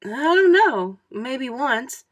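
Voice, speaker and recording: A woman's voice: sits high, big pitch swings, slow pace, lo-fi sound, voice pushed up, exaggerated voice. A woman voicing a wary, wry fourth-grade boy from a storybook, in plain protesting tones.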